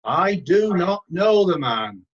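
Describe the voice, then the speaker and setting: Guy doing defensive disciple in a bible reading, slow talking, muffled video call mic. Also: high voice, very animated, slightly rough, exaggerated voice. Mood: defensive denial. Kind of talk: dramatic scripture reading. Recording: dull, lo-fi video meeting audio.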